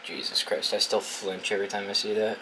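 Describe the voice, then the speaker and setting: A young American man with a fairly low voice talks through a gadget setup in a loose, informal way, thinking aloud and swearing freely. The recording has clear noise behind him. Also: level tone, a little fast. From New England, United States. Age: young adult. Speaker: video host reviewing a gadget. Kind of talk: casual unboxing and setup commentary. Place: dorm room.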